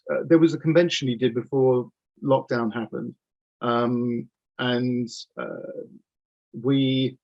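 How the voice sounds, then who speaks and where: A dull, slightly lo-fi video call carries the voice of a man in his fifties. His pitch is average, and he chats as an interview guest in an enthusiastic, anecdotal way. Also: creaky. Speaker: audio drama producer, interview guest. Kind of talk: casual interview conversation. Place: home office with bookcases. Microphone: computer mic on video call.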